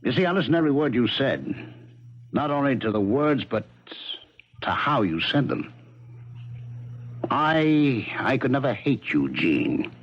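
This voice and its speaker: An actor playing a shrewd, sardonic police detective interrogating in a 1970s radio drama, pressing with pointed tag questions. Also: male voice, mid-range voice, very animated, measured pace, long pauses, slightly rough. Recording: dull vintage radio broadcast sound.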